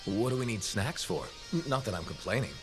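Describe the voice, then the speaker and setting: A wry freelancer with a low, lively voice. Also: male voice, brisk, music in the background. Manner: dry, sarcastic quips. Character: wry male freelancer pilot, young adult. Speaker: freelancer, player character.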